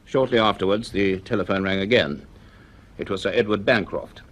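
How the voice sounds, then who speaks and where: An actor playing an earnest, uneasy university scientist in a radio drama, speaking in an educated, hesitant, measured way. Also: male voice, lowish voice, fairly bright tone. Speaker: lead character and narrator. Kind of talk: radio drama dialogue and narration.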